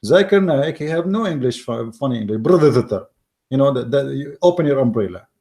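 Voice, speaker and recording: A man with an Arabic accent whose first language is Arabic, giving argumentative commentary in mocking, emphatic English with repeated words and halting restarts. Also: medium pitch, animated, mellow. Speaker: online commentator. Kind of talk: argumentative commentary reading from an article.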